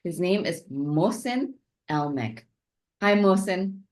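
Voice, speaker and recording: A woman's voice: fairly low pitch, expressive, takes it slowly, darkish tone. A woman hosting an interview podcast, introducing and questioning her guest. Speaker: podcast host.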